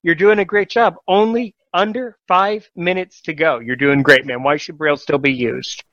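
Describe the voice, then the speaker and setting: A man's voice: fairly high pitch, steady tone, normal speed, fairly bright tone, no room sound, highs cut off. A man hosting a casual podcast talk show, encouraging and rambling, often correcting himself mid-sentence.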